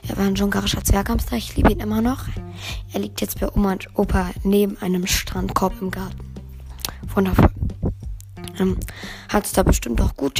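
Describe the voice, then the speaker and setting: A hoarse teenage girl hosting her own podcast, chatting casually and rambling, often correcting herself. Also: medium pitch. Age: teens. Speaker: podcast host. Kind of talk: casual solo podcast chat.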